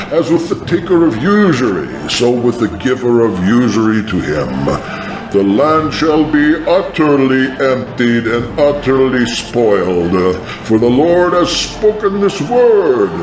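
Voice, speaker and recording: Man voicing echoing, wrathful God pronouncing doom. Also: medium pitch. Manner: grave, commanding, measured. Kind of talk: dramatic scripture declamation. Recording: distant echoing voice under music. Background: music.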